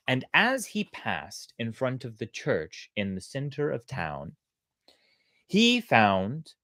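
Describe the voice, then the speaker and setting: Slow, animated storyteller in a dry room. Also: medium pitch, dry recording. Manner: theatrical, deliberate. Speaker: storyteller, host. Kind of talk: expressive storybook narration.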